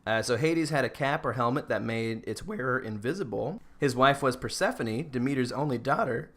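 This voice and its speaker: A man's voice: mid-range voice, animated, moderate pace, fairly bright tone. Casual, joking young-sounding podcast guy. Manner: joking, informal, giggly. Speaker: podcast co-host presenting a rundown. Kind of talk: casual comedic presentation with banter.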